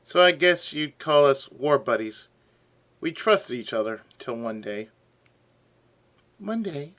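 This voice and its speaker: A man reading as a quiet young swordsman. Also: on the high side, animated, takes it slowly. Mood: subdued. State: hoarse. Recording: game music playing behind.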